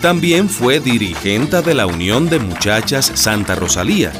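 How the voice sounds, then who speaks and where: A man's voice: mid-range voice, very animated, normal speed, natural voice. A man narrating a radio drama as a scripted storyteller. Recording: music bed behind the voice.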